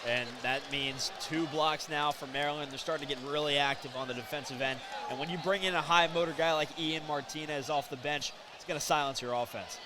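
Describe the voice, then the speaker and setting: A man's voice: on the high side, fairly even intonation, fairly quick, few pauses, bright, forceful tone. An American man giving explanatory, emphatic live analysis as a basketball color commentator. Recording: noisy live arena broadcast. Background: basketball game ambience.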